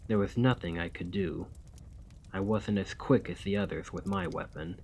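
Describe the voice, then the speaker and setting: A man playing a weary, courtly, centuries-old vampire and former soldier in an ASMR roleplay, speaking in formal, old-fashioned, measured diction. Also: sits low, darkish tone, a little hiss or hum, natural voice. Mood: haunted, sorrowful.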